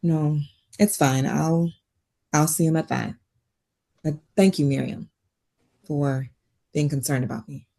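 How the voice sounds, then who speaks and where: A woman acting a caring but weary therapist in a naturalistic drama table read, speaking in a measured, firm, earnest way. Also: sits low, steady tone, gravelly, warm tone, full character voice.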